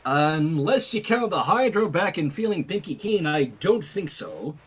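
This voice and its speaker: A male host reads a fan story aloud with playful, chatty asides. His voice is high and slightly husky. The delivery swings very widely in pitch. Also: sing-song, a little slow. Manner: playful, self-interrupting. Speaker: host, fan fiction reader.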